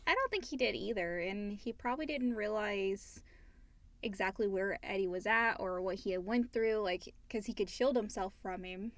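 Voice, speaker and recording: A woman's voice: mid-range voice, moderate pace, no echo. A woman co-hosting a podcast, chatting casually and reading out listener questions. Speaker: podcast co-host.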